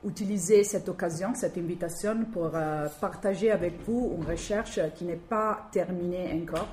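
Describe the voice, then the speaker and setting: A woman from Italy speaking with a strong Italian accent, giving an academic talk and often pausing to search for words. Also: fairly low pitch, darkish tone. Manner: halting, searching for words with many fillers. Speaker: guest lecturer. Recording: echoey room, faint background noise.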